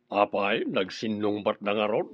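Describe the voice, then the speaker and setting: A man's voice: on the low side, normal speed, no room sound, lo-fi sound, lightly acted. A man with an Ilocano accent playing an inquisitive village father in a radio drama. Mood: questioning.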